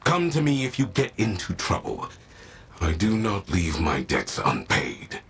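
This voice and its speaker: A man playing a grumpy, proud old warlord in a video game, curt and disdainful, with a gravelly, raspy voice. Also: low voice, wildly swooping pitch, unhurried, soft background music, heavily acted. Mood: grudging solemnity. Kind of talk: video game cutscene acting.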